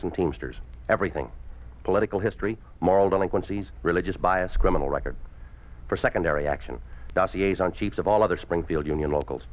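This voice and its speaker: An American actor playing a cold, domineering Communist official in a 1950s radio drama, curt and commanding. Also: male voice, sits low, close to an everyday voice. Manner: curt, commanding, clipped orders. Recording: dull, lo-fi 1950s radio recording.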